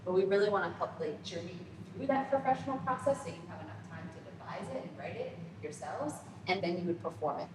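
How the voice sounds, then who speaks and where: A woman's voice: on the low side, expressive, fast talker, reverberant, in a large hall, recorded from a distance, noisy background. A woman leading a theater project, explaining the process casually with frequent "like"s. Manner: explains with many "like"s. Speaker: theater workshop leader.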